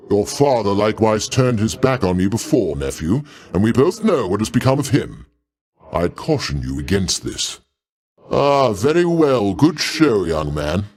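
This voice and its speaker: An imperious ruler of the gods, a genial uncle with a grand sense of his own authority. His lowish voice sweeps dramatically up and down through formal, pompous phrasing. It is theatrical video game character work, avuncular and commanding. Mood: stern, indignant, then magnanimous, warning.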